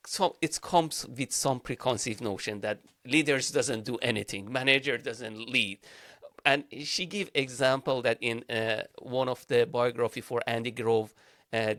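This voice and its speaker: A man's voice: mid-range voice, a bit bright, no echo, studio quality. Hesitant man, Farsi native speaker. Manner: hesitant, with frequent "uh" and non-native grammar. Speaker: podcast co-host. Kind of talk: interview question.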